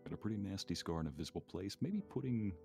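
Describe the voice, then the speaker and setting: A man's voice: sits low, expressive, quick pace, gravelly, darkish tone, faint music behind. A man chatting casually as a guest on a livestream, reading and answering questions from viewers. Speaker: guest ASMR narrator and streamer.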